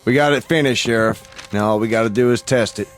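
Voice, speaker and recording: A man acts a frontier deputy in a radio drama. His medium-pitched voice is curt and matter-of-fact, that of a businesslike underling. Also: quick pace, faint music behind, lightly acted. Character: businesslike Western deputy loyal to his sheriff. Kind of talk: old-time radio drama acting.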